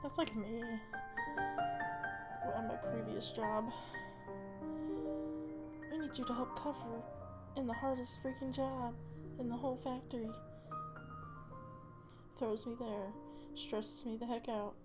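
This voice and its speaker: Female streamer: casual game reactions, high voice, unhurried pace. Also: fairly even intonation. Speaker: gameplay streamer. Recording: clear game music behind.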